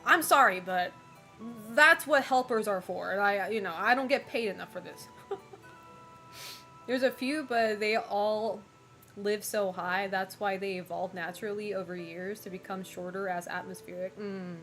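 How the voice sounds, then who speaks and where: A young woman streamer in casual chat, frank and talkative, laughing often and filling pauses with "like" and "um". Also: medium pitch, sharp tone, faint music behind. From United States and Japan. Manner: chatty, frank, laughs often, many fillers. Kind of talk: casual chat reading viewer messages.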